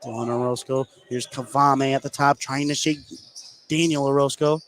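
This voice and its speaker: A man doing live play-by-play basketball commentary for radio, getting excitable on big plays. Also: medium pitch, normal speed. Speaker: play-by-play sports announcer. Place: indoor school gym. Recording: clearly noisy live gym broadcast. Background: gym ambience and noise.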